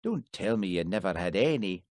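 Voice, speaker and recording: Man doing a guarded village cop, wary and dry, lively pitch, dead dry sound. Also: moderate pace, no echo.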